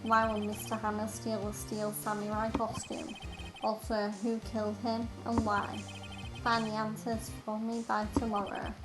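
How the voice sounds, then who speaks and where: A woman's voice: medium pitch, completely flat delivery, measured pace, lightly acted, poor audio. A woman with an English accent reading the lines of a stern older male judge from a courtroom game aloud, in a formal manner. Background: game music.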